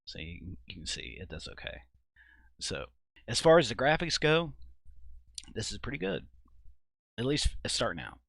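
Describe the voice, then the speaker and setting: A man giving an informal software walkthrough at his computer, thinking aloud in a halting, casual way. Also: fairly low pitch, big pitch swings, gravelly, no echo. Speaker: video creator and host. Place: indoors at a computer.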